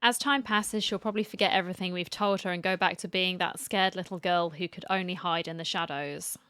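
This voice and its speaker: A woman's voice: on the low side, fairly even intonation, fairly quick, fairly bright tone, clean tone. A woman streamer giving casual reaction commentary on a game and reading bits of it aloud, recorded on a close mic.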